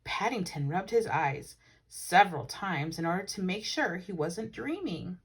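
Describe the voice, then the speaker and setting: A woman reading a children's picture book aloud as a teacher, with animated, dramatic emphasis. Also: fairly low pitch, a little slow, breathy edge. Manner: animated, dramatic emphasis with hushed asides to listeners.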